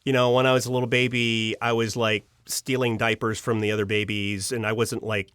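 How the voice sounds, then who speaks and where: A man from Pennsylvania speaking as an interview guest, telling personal stories casually in a rambling, expressive way. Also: lowish voice, a little fast, smooth, no room sound, clean studio sound. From Pennsylvania, United States. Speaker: podcast guest, storyteller. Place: studio.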